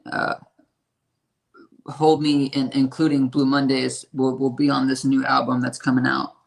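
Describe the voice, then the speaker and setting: Man, raspy and hoarse, gravelly fry, flat delivery, rambling with fillers. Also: mid-range voice, rough recording. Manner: halting and rambling, with lots of fillers and swearing. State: hoarse from a strained voice. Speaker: independent musician. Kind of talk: casual interview talk.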